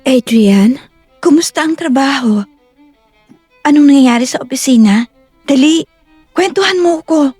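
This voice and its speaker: A timid, affectionate young wife, voiced by a woman in an audio drama. She is high-pitched and deferential, recorded dry with soft music underneath. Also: lively intonation, measured pace, pauses often, dry recording. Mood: eager, affectionate.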